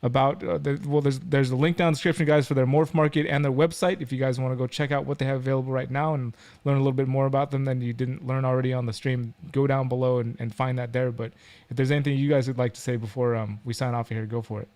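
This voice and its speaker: A man's voice: mid-range voice, steady tone, fast, a little mellow. A man hosting a live stream, chatting casually with guests in an informal, jokey, rambling way.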